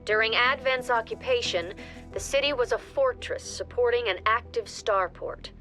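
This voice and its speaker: A calm agency director. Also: female voice, on the high side, faint music behind, poor audio. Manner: measured, businesslike briefing. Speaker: director briefing a squad.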